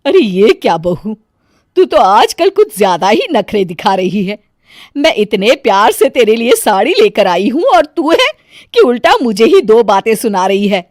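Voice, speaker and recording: A fretful middle-aged mother-in-law played by a woman in a dramatised moral story, doting but quick to take offence. The voice is fairly high and swings widely, with slow, emphatic exclamations. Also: very animated, a little mellow, dry recording, studio quality. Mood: offended, scolding.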